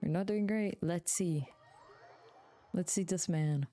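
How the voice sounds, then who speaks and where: A woman with a fairly low, very mellow voice talks casually to viewers as she streams a game. She is slangy and swears freely. Faint game music plays behind her. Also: slow.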